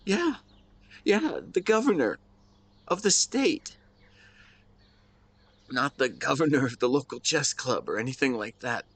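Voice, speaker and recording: A man playing a grieving, devoted husband who speaks to his late wife in a tender, emotional, halting way, as an intimate audio roleplay monologue. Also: medium pitch, very animated, a bit bright, exaggerated voice. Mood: proud, moved.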